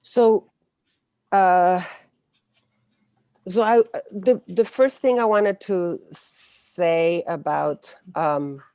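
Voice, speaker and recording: A woman in her forties with a Chilean accent speaks in a fairly low register. The sound is dry, with little room in it. She explains things conversationally as an interview guest, halting now and then to search for words. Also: darkish tone, no room sound. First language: Spanish. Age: 40s. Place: indoors, room with bookshelves, on a video call. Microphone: wired earphones on a video call.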